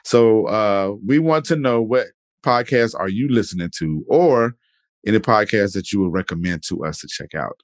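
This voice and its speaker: A young man with a very low, deep voice hosts a podcast in a laid-back, rambling way. He leans on "man" and "definitely" as he talks. His voice is close and dry, with no room sound. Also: normal speed, dry recording. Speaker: podcast host. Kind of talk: casual interview chat.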